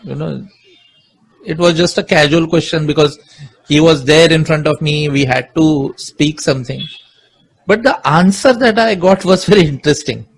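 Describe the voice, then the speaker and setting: A middle-aged Indian man with an Indian English accent, a Marathi speaker and music composer, answering interview questions with many pauses and restarts. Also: on the high side, slightly rough, poor audio. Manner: hesitant, many fillers and restarts.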